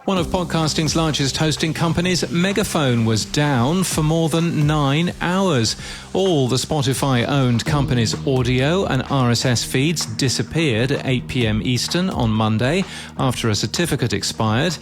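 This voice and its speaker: A man's voice: medium pitch, unhurried. Male podcast newsreader over music. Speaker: podcast news presenter. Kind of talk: scripted news bulletin. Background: background music.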